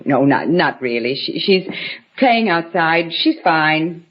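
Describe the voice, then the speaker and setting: A woman's voice: sits low, big pitch swings, slow, full character voice. An actress playing an anxious, hesitant housewife and mother in an old radio drama, faltering and polite. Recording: dull old radio recording.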